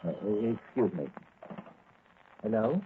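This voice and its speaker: An actor in a 1940s radio serial playing a kindly, fussing older doctor with a hesitant, halting way of talking. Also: male voice, on the low side, lively intonation. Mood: concerned, flustered. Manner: hesitant, full of uhs. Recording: tinny, faintly noisy old radio recording.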